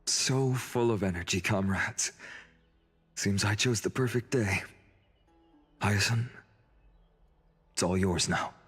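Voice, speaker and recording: An actor voicing a calm, learned young man in a game cutscene, explaining history in a measured way. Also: lowish voice, soft background music. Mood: welcoming. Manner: measured, explanatory, scholarly delivery. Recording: long reverb.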